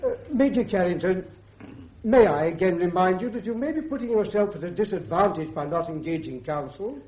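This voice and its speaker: Muffled radio drama audio, British court official, very high male pitch, courteous, measured, formal. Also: expressive, a little mellow. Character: courteous, formal judge advocate at a military court. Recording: dull, band-limited radio drama recording.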